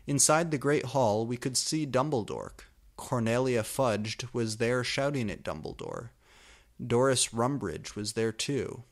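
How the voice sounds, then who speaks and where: A man reads fan fiction aloud as comic narration, in a mid-pitched voice. He delivers the prose mock-seriously, leaning on words with exaggerated emphasis. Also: animated, a little slow.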